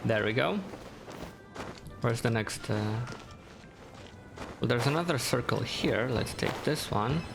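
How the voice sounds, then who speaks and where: Slow, creaky-voiced male gamer. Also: medium pitch, animated. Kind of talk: casual gameplay commentary. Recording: game music behind voice. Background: game music.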